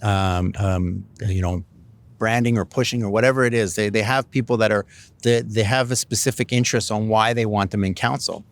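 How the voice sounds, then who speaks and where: A man's voice: fairly low pitch, fairly even intonation, a little fast. A middle-aged Canadian man, a city council candidate, answering interview questions in a rambling way with many fillers and restarts.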